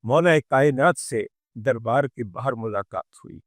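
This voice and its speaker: A man's voice: medium pitch, animated, unhurried, no echo, studio quality. A man telling religious history as a dramatic orator, with emphatic phrasing, rhetorical questions and repeated phrases. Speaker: religious speaker presenting a talk. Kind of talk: dramatic Urdu religious storytelling sermon.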